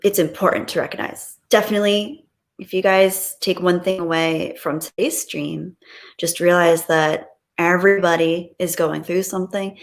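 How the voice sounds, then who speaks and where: A woman's voice: lowish voice, steady tone, moderate pace. A woman hosting a livestream, chatting casually and reading out viewer comments in a gushing, appreciative, playful way. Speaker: livestream host.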